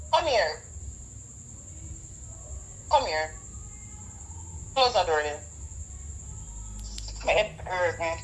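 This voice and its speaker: A young Jamaican woman talks casually on the phone. Her voice is sharp and swings widely in pitch, with a guarded, questioning way of answering. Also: poor audio. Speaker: prank call recipient.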